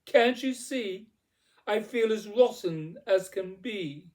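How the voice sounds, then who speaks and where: A man voicing a bossy, jealous young Cinderella in a storybook poem, loud, demanding and exclamatory. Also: mid-range voice, animated, slow. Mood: demanding, exasperated.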